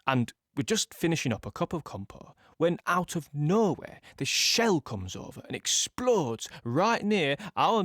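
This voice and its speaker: A man's voice: high voice, fairly bright tone, dry recording, studio quality, exaggerated voice. An actor with a British accent playing a cheerful young guardsman, telling army stories in a droll, instructional manner. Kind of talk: first-person audio play monologue.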